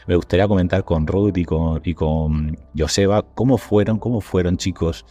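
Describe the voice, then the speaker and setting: A man's voice: low voice, moderate pace, mellow. A man with an accent from Spain hosting a podcast in a wordy, conversational way, playfully addressing his listeners. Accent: Spanish from Spain. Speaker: podcast host.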